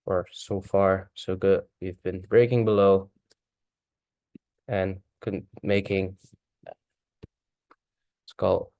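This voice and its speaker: A man whose first language is Dutch, casually thinking aloud through a chart walkthrough, halting and correcting himself as he goes. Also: sits low, level tone, creaky, darkish tone, no room sound. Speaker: forex trader.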